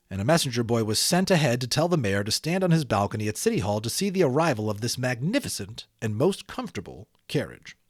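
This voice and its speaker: A man's voice: mid-range voice, a little mellow, dry recording, studio quality. A man narrating a children's audiobook expressively, with dramatic emphasis and chatty asides to listeners.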